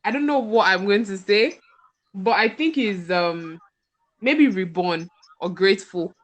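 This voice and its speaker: Podcast host: female, Nigerian accent, mid-pitched, casual conversational delivery. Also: a little slow, poor audio. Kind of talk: casual group chat.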